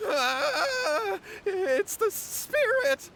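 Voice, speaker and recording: A man's voice: very high voice, sing-song, very slow, pushed and bright, slight background noise, exaggerated voice. An actor playing a cowardly, scheming young man in a fantasy game, flustered and pleading as he talks. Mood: terrified. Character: nervous, cowardly, scheming young swordsman's sibling. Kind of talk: English game voice acting.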